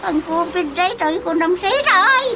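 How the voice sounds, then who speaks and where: A woman's voice: very high-pitched, animated, a little slow, noisy background, exaggerated voice. A woman playing a dotty, childlike old grandmother in a radio comedy, talking in a whimpering, wheedling way. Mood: plaintive, childlike. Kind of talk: broad radio drama comedy acting. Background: music.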